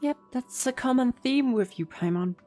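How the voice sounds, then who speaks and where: A woman's voice: high-pitched, lively intonation, moderate pace, dark tone. A woman giving casual, laid-back, wry commentary as she reacts to a video game story. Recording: game music behind.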